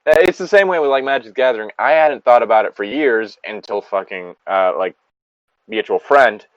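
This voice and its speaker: A man's voice: mid-range voice, animated, fairly quick, no room sound, highs cut off. A man in a casual chat, rambling along and swearing freely.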